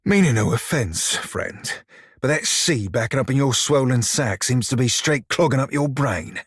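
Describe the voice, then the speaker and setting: An actor voicing a short, crude, wry pirate shiphunter for a video game, with a husky, rough-edged voice and a pirate dialect full of salty sailor slang. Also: male voice, mid-range voice, lively intonation, moderate pace, no room sound, clean studio sound. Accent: pirate-style dialect. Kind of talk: video game character dialogue and barks.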